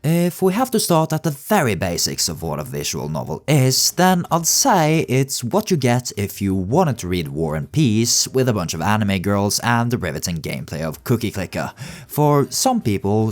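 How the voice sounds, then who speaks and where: A man giving scripted comedic narration as a video essay host, in a wry, tongue-in-cheek way. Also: lowish voice. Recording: music behind the voice. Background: background music.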